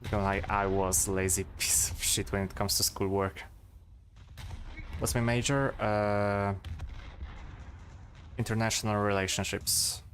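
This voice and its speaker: A man in his twenties with a Polish accent, chatting casually to stream viewers and thinking aloud, with pauses and fillers. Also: on the low side, little pitch movement, a bit bright, a little hiss or hum.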